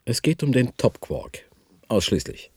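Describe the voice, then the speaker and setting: An actor playing an obsessed, sardonic particle physicist in a German radio play, with a gravelly, very husky voice and theatrical, drawn-out delivery. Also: male voice, medium pitch, very animated, darkish tone, no echo, heavily acted. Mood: cold, grandiose.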